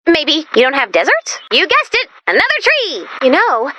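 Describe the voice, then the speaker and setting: Casting: chirpy, anxious game robot sidekick, very high, dry studio sound, earnest with deadpan turns. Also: female voice, normal speed, dry recording, full character voice. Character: chirpy, anxious, eager-to-please hovering robot helper. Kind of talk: video game character voice lines.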